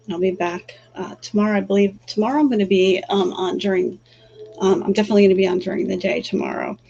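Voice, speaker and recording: Calm soft-voiced woman on a livestream, bit gravelly, dull lo-fi audio w a faint hum. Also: medium pitch. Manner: soft, calm, halting with many fillers. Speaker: livestream host and energy healer. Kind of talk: casual livestream chat, then guided breathing.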